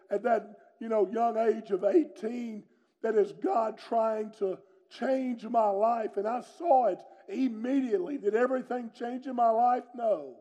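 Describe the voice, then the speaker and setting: A middle-aged man, preaching a sermon with personal testimony in a loud, booming, strong delivery. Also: lo-fi sound. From North Carolina, USA. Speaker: pastor.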